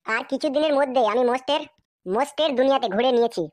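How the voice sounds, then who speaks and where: High-voiced, fast woman reading an ad. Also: darkish tone, dry recording, clean studio sound. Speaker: advertiser. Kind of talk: scripted promotional read.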